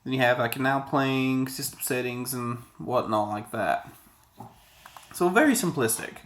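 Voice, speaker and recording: A man's voice: medium pitch, measured pace, fairly bright tone. Casual man rambling through a review. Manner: rambling, conversational, digressive. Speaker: product reviewer. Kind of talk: casual video review to camera. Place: small indoor office, sitting at a desk.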